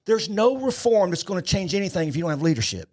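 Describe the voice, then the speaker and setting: A man's voice: sits high, very animated, quick pace, darkish tone. A man speaking as an opinionated interview guest, emphatic and rhetorical, repeating his points. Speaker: podcast guest, police leadership speaker.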